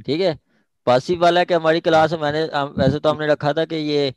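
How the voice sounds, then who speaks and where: A man's voice: on the high side, steady tone, normal speed, smooth, no echo. A man with a Pakistani accent teaching an interactive online law class, often checking that students follow. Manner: checks understanding with repeated short questions.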